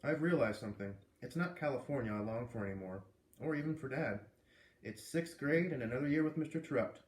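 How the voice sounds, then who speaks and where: Male storybook narrator, mid-pitched, expressive read-aloud delivery, roomy echoing sound. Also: fairly quick. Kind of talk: expressive children's book narration.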